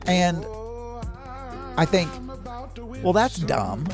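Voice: mid-range voice, big pitch swings, very slow, over music. Chatty Southern-accented podcast host. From American South. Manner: rambling, self-interrupting, chatty and candid. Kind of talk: casual solo podcast chat.

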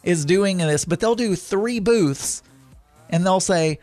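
A man's voice: high voice, takes it slowly, a little mellow, dry recording, faint music behind. A man with a Southern accent chatting casually as a podcast host, rambling through his weekend with frequent fillers and self-corrections. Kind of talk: casual chat.

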